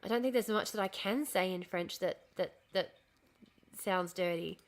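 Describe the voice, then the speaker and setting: A woman's voice: on the low side, normal speed. A woman hosting a casual livestream, losing her voice from an illness, rambling, swearing often and answering chat comments. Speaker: livestream host.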